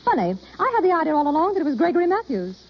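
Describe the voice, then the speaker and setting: An actress playing a shrewd, teasing best friend, in the style of golden-age radio drama. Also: female voice, very high voice, big pitch swings, very fast, heavily acted. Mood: teasing, knowing. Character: shrewd, probing, teasing best girlfriend of a young woman. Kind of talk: 1930s-40s radio acting. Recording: muffled vintage radio broadcast.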